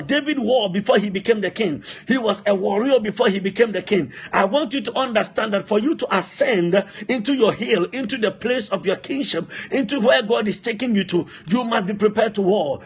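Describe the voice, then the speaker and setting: High-pitched husky male preacher. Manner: emphatic, repeats phrases, poses rhetorical questions. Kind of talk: impassioned sermon.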